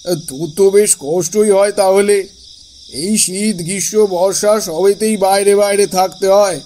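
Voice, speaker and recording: A man's voice: high-pitched, steady tone, a little slow, warm tone, slight background noise. A man playing a concerned, slightly anxious elder brother figure with a secret, speaking familiarly in a horror audio drama. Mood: concerned, curious.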